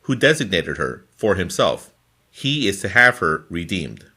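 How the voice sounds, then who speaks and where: A man reading scripture from a formal translation in a deliberate, phrase-by-phrase manner. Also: deep voice, very animated, sharp tone. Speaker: scripture reader.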